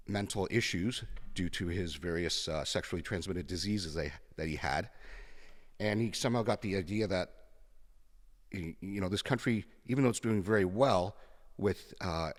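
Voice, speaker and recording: A Canadian man in his fifties telling his personal story as a podcast guest, halting and reflective, pausing and repeating words. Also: low voice, in a large hall. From Canada, born in Kenya.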